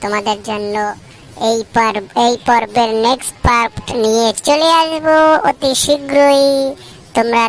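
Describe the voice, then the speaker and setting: A woman's voice: a little high, fairly even intonation, measured pace. A woman hosting a cartoon channel, addressing viewers as friends in a scripted outro. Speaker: channel host.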